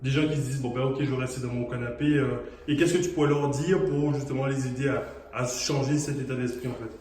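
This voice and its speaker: Chatty host in an echoey room. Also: male voice, mid-range voice, fast. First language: not French. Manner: chatty, relaxed, encouraging, many fillers. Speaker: host, real estate coach. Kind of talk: casual interview.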